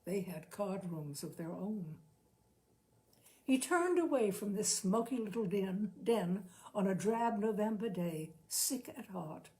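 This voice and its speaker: A woman narrating literary fiction expressively, with dramatic, deliberate phrasing and lingering pauses. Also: medium pitch, animated, a little mellow. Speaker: narrator, story reader.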